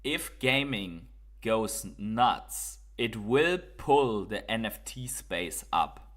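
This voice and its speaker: A man's voice: lowish voice, very slow. German-accented man hosting a crypto stream. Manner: relaxed, thinks aloud with frequent fillers and repeated words. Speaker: crypto livestream host. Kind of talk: casual livestream chat reading viewer comments.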